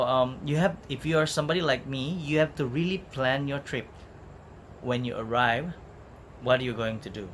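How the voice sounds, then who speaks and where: A man with a Malaysian English accent talks through travel tips, casual and unscripted. His voice sits at an ordinary pitch and has a chatty, rambling flow with small restarts. Loud plucked guitar music plays under him throughout. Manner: chatty, rambling, repeats words while searching for them. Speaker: travel vlogger. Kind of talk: casual unscripted talk to camera.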